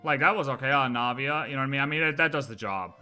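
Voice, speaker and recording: A man's voice: medium pitch, fast talker, pushed and bright, soft background music. A man livestreaming a video game, chatting casually and thinking aloud in slangy, offhand asides.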